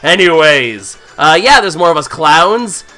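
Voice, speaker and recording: A man with a cold, hoarse and nasal, giving casual, joking commentary as he plays a game. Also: on the high side, very animated, measured pace, bright, forceful tone, soft background music. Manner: playful, jokey, thinks aloud. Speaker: let's play host.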